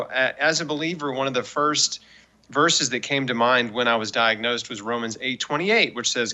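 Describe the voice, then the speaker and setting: A man's voice: mid-range voice, pushed and bright. A man in his forties speaking as an interview guest in an emphatic, persuasive way, giving earnest faith-based encouragement. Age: 40s. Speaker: guest author and cancer survivor.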